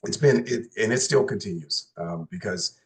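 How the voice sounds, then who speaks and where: A man with a very low voice speaks as a panelist. He talks earnestly, repeats words and leans on fillers like "you know". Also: animated, normal speed. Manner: earnest, repetitive, many fillers. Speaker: panelist, hospital executive. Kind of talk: conversational panel answer.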